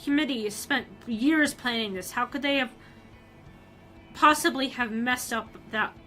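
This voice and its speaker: A young woman reads a story aloud to her stream in a somewhat high, sharply bright voice. She keeps it animated and excitable, tripping over words and starting over as she goes. Soft music plays faintly behind her. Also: unhurried. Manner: animated, excitable delivery with frequent restarts.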